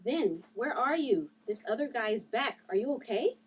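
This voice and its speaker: A concerned but resolute young heroine read by a woman. Her husky voice sounds away from the microphone, in a drama table read. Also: fairly high pitch, expressive, normal speed. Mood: worried. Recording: distant from the microphone.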